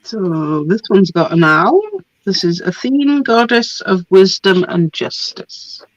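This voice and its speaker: A woman's voice: medium pitch, slow, no echo, muffled recording. Chatty woman with a coming cold. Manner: chatty, laughs often, trails off with ums. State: getting a cold, losing her voice. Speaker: host showing craft papers. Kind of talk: casual product show-and-tell.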